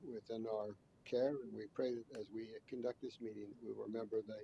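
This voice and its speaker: A man on a school board leading an opening prayer, slow, halting and reverent. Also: lowish voice, flat delivery, vocal fry, mellow, a little hiss or hum. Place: conference room. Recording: dull lo-fi recording.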